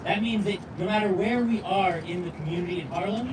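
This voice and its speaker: A faith speaker giving an earnest, measured speech at an outdoor rally. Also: medium pitch. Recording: distant mic with loud street noise.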